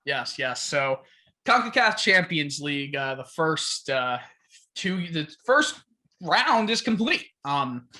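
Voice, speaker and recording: Lively bright man, halting casual podcast chatter, pitch jumping around. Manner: halting, lots of fillers and laughs, animated rises. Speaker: podcast co-host. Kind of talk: casual sports chat.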